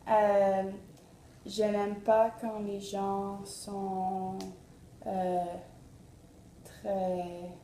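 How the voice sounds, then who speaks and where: Brief: woman, slow and even, mellow tone, echoey room. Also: mid-range voice, a little hiss or hum. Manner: hesitant, many fillers. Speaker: interviewee. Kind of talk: casual self-introduction.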